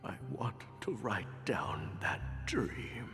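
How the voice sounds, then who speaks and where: A weary, aged male traveler from a story-driven game, looking back wistfully. His low voice moves slowly and haltingly, with long reverb around it and music underneath. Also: very animated, heavily acted. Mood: wistful, nostalgic. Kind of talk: dramatic video game voice acting.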